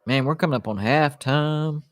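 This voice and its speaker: A man's voice: on the high side, normal speed, no room sound. Rambling thirty-something man on a live stream. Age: 30s. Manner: rambling, restarts, lots of "like" and "you know". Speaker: sports YouTuber hosting a live watch party. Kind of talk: casual live-stream chat, reading viewer comments.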